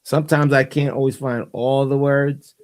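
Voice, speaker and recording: A man's voice: medium pitch, little pitch movement, moderate pace. A man hosting a call-in chat show, asking the guest easygoing, curious questions. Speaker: host.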